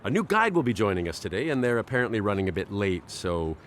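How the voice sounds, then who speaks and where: An actor playing a cheerful veteran bus tour guide and relentless dad-joker in a radio play, with a showy, punning delivery. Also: male voice, lowish voice, big pitch swings, fast, a little hiss or hum. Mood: jovial, showy.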